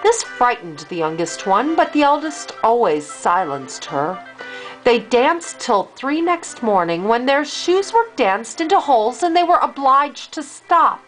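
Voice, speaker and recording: An older woman, a grandmother, reading a fairy tale aloud in an expressive storytelling way for a child. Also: on the high side, poor audio.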